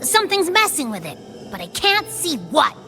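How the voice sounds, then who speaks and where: A woman's voice: high-pitched, wildly swooping pitch, normal speed, full character voice. An actress voicing a small, chirpy, inquisitive female companion in a video game. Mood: puzzled, curious. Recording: loud music behind.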